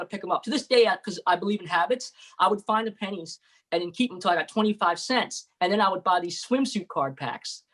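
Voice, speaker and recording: Male guest investor, quick delivery, earnest, video call. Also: high-pitched, sharp tone. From United States. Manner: fast, earnest storytelling. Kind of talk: storytelling interview answer.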